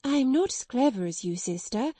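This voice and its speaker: A woman's voice: lively intonation, fairly quick, mellow, breathy edge, no echo. A woman voicing a timid middle-aged spinster schoolmistress who breaks into tearful, hysterical accusations. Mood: timid, pleading. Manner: tearful, pleading, shrill outbursts.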